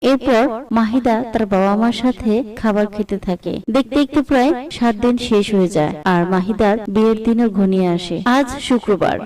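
High-voiced woman narrating a story. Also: mellow, far from the mic, poor audio.

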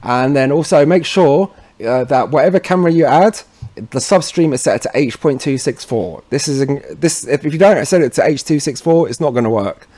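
A man's voice: on the high side, expressive, moderate pace, warm tone. A man presenting a casual instructional walkthrough in an informal, chatty way, often checking in with the listener. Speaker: tutorial presenter.

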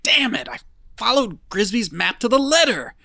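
An actor playing an exhausted, rambling treasure hunter losing his wits, folksy and breathy, muttering to himself in an audio drama. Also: sits high, sing-song, bright, forceful tone, dry recording, studio quality, exaggerated voice. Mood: frustrated. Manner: folksy, muttering to himself, slow halting delivery.

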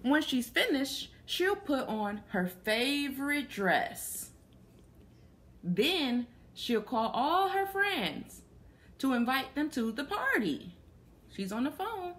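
Slow, high-pitched woman reading to children. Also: big pitch swings, fairly bright tone. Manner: asks young listeners questions while reading. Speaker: children's story reader. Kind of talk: reading a picture book aloud to children. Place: small plain room indoors.

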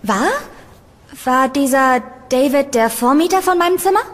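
Young female lodger in a radio play: courteous, curious, very high voice in a reverberant room. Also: expressive, moderate pace, a bit bright. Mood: curious, puzzled. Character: polite, curious young woman seeking a room. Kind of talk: audio drama acting.

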